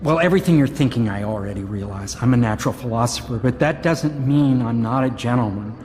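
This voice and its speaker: An actor playing an awkward, fussy inventor in a video game, hesitant and stiffly formal. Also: male voice, medium pitch, a little fast, darkish tone, a little hiss or hum, natural voice. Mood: embarrassed, flustered. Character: awkward, fussy male inventor and natural philosopher. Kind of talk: dramatic game cutscene dialogue.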